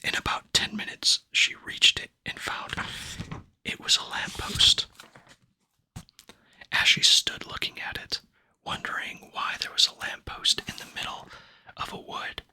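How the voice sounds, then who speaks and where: A man whispering an ASMR reading of a children's fantasy novel, gentle and unhurried, with pauses between phrases, close to the microphone. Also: low-quality recording. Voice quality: breathy unvoiced whisper. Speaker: ASMR book reader.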